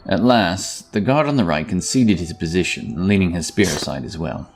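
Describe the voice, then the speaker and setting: A man with a low, gravelly voice narrates a fantasy story. His delivery rises and falls expressively, and faint music plays behind him. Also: animated, normal speed. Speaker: audiobook narrator.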